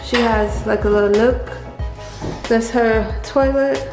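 A woman with a fairly high voice chats casually and informally to the camera as she tours a house. She takes her time. The empty rooms ring around her words, with music behind. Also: fairly even intonation, slow, echoey room, in a large hall. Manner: informal, slangy. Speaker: family vlogger giving a house tour. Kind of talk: casual chat to camera while showing rooms.

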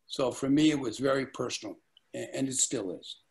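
A man's voice: mid-range voice, brisk, darkish tone. Older male co-producer from Puerto Rico, webcam, easy storyteller. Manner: storytelling, recounting with quoted dialogue. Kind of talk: casual video call discussion. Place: lamp-lit living room at home. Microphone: webcam on a video call.

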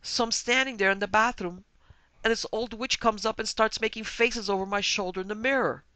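A man voicing an agitated, booming husband at a party in a dramatic story reading. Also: fairly even intonation, a little fast, bright, forceful tone, clear, resonant tone, dry recording. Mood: agitated bluster. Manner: booming, blustering.

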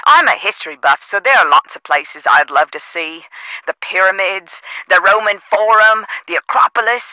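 A woman playing a chatty phone operator in a video game, with expressive customer-service delivery over a muffled line. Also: medium pitch, moderate pace, dry recording. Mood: chatty, wistful. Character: perky, chatty castle staffing phone operator. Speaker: call centre operator.

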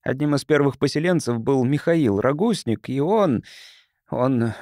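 A man's voice: medium pitch, animated, measured pace, darkish tone, no room sound, studio quality. A man reading a science fiction audiobook as a scripted narrator.

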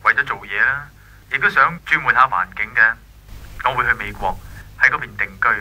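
A reserved, wistful young man in a 1980s radio drama. His mid-pitched voice is very sharp and rather husky through a telephone filter, with a Hong Kong accent. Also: a little fast, poor audio. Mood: wistful, resigned. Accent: Hong Kong Cantonese. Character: earnest, wistful young male family friend, courteous and reserved.

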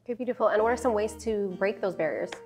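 A young woman hosting a studio interview, asking brisk questions and affirming answers in an upbeat way. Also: medium pitch, a little mellow. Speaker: interviewer, host. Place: dark studio set.

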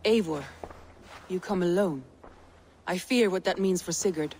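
A woman's voice: fairly low pitch, a little slow, a little mellow, slight background noise, close to an everyday voice. A woman playing a concerned, anxious Viking confidante, in dramatic video game acting. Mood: worried.

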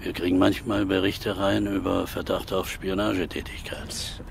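Gravelly older veteran detective. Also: male voice, deep voice, level tone, normal speed, slight background noise. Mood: pensive, sober. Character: seasoned veteran male police detective, sober and thoughtful. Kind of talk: crime radio drama dialogue.

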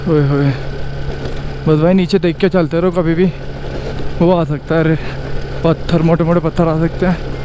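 An Indian man narrating from his motorbike on a rugged outdoor road, slightly high-pitched and full of excited exclamations. His talk is chatty and off the cuff. Faint wind and engine noise sit under the voice from a mic on his gear. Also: normal speed, pauses often. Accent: Hindi-speaking Indian. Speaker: motovlogger. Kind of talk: casual running commentary while riding.

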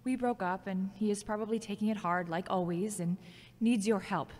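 A woman's voice: medium pitch, steady tone, normal speed, darkish tone, natural voice. A woman acting a worried, frank woman who prays in a wry, informal way in an audio drama. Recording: echoey hall with long reverb.

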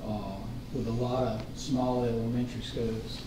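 A man presenting a school budget at a board meeting, explaining slowly with many fillers. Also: mid-range voice, flat delivery. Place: large meeting room. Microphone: distant room mic. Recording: echoey room, far from mic, room noise.

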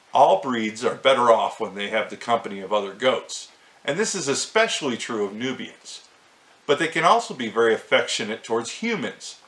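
A middle-aged man hosting a short farm-animal video, reading a script to camera in a clear, measured way. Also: medium pitch, a bit bright, roomy sound. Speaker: host.

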